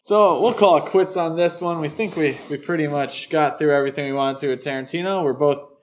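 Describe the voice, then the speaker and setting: A man's voice: a little high, brisk, dull audio, slight background noise. A man co-hosting a podcast, talking through films in a casual, analytical, explanatory way. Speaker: podcast co-host. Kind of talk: casual film discussion.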